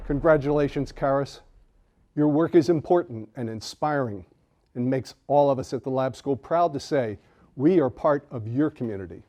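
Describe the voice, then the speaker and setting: A man's voice: medium pitch, moderate pace, warm tone. A man hosting an awards ceremony, reading formal, polished introductions. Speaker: ceremony host. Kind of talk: scripted ceremony presenting.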